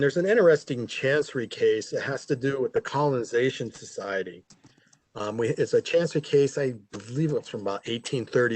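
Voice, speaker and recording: A man's voice: mid-range voice, no room sound. A man who manages historic records, explaining them informally on an online panel with fillers and self-corrections. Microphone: video call.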